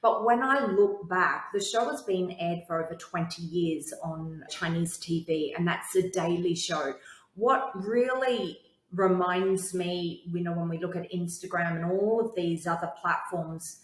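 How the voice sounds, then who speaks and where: A woman entrepreneur and TV host, interviewed as a guest, telling her story in an articulate, confident way. Her voice sits a little low. The sound carries a noticeable room echo. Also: measured pace.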